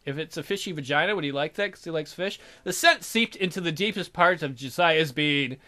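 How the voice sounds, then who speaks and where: A man's voice: fairly high pitch, brisk, sharp tone. A man hosting a let's play, reading a visual novel aloud and breaking off to add irreverent, amused comments.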